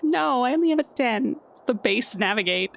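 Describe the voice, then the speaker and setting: A woman playing a tabletop game, talking casually with a wry, self-deprecating, animated manner. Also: on the high side, moderate pace, vocal fry, low-quality recording.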